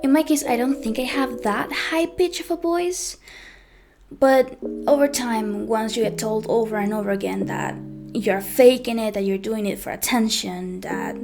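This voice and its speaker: Young woman, high-pitched voice, hesitant storytime monologue, clear guitar music behind. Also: normal speed. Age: young adult. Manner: hesitant, with frequent ums and self-corrections. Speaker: vlogger.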